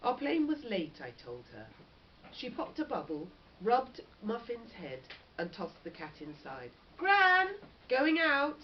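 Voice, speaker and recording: A woman, a teacher reading a story aloud and putting questions to her class in an expressive, encouraging way. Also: on the low side, unhurried. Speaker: primary school teacher. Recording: dull lo-fi recording with background noise.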